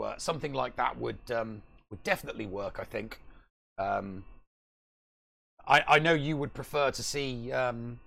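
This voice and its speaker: Rambling man with a creaky, low-pitched voice. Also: a bit bright. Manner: rambling, emphatic, repeats himself for stress. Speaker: podcast co-host. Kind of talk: casual gaming chat.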